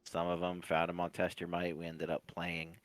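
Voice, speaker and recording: A man of about forty chatting casually as an interview guest, halting and often repeating his words. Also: deep voice, monotone, fairly bright tone, no echo. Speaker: interview guest, competitive player. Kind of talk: casual chat, recollecting.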